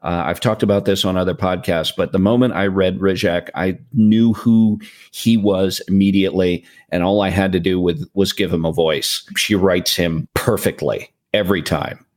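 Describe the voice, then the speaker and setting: A man chatting on a podcast, giving earnest, relaxed advice to new podcasters over a USB mic. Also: deep voice, moderate pace, hardly any pauses. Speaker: podcast guest. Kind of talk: casual podcast chat. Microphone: USB condenser mic.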